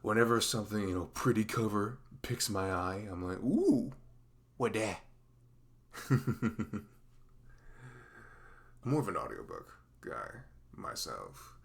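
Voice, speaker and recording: A man playing a dorky, playful male best friend in an ASMR roleplay, with a velvety voice and a halting, rambling, teasing manner. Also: lowish voice, sing-song, vocal fry, exaggerated voice. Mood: playful, self-deprecating.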